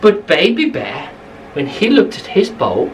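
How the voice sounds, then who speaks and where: A woman's voice: lowish voice, huge pitch swings, measured pace, darkish tone, far from the mic, a little hiss or hum, low-quality recording. A woman telling a bedtime story to young children, expressively, repeating phrases for emphasis, slightly away from the mic.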